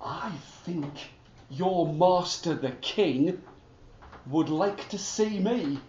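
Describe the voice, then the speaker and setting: A man voicing a calm, wise male talking horse in a fairy tale, speaking low and hushed. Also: extremely slow, roomy sound, highs cut off, slight background noise.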